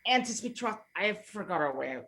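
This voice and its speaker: A woman's voice: mid-range voice, lively intonation, brisk, a bit bright. A woman playing an ardent young male lover, speaking verse in a stage play. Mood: tender. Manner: declamatory verse delivery. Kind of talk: Shakespearean stage acting.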